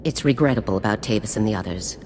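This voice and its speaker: A woman's voice: very deep voice, lively intonation, quick pace, darkish tone. An actress playing a stern, commanding female special forces general in a video game, speaking in a formal, authoritative, clipped way. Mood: stern, measured. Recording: long reverb with faint noise.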